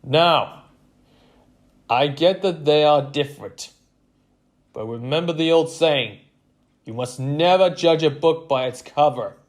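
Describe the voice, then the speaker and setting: A man's voice: mid-range voice, slow pace, pauses often, darkish tone, pitched above the performer's own voice, lightly acted. A man voicing an earnest football coach and father rallying his team in a story. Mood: insistent, earnest.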